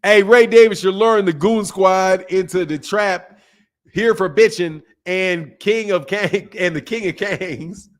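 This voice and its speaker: A man's voice: high-pitched, unhurried. A man from the United States hosting a livestream, chatting casually with viewers in an emphatic, slangy way and repeating phrases for emphasis. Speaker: livestream host of a boxing talk channel. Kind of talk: casual livestream chat, reading and answering viewer messages.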